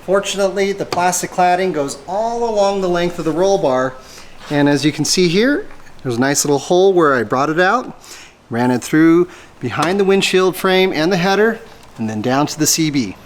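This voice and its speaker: A man's voice: high voice, expressive, moderate pace. A man hosting a casual how-to, explaining an installation step by step in a plain-spoken way, as he demonstrates. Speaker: host of a vehicle installation how-to. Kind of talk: casual hands-on tutorial narration. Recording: clear background ambient noise. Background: ambient noise.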